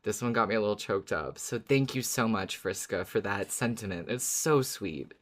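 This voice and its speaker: A woman's voice: a bass voice, moderate pace. A woman co-hosting a podcast, chatting casually and responding to listeners. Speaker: podcast co-host.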